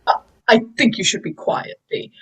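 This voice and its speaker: A woman's voice: normal speed, a bit bright, lightly acted. A woman playing a pompous, authoritative male professor in an amateur drama table read. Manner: pompous, hesitant when reasoning.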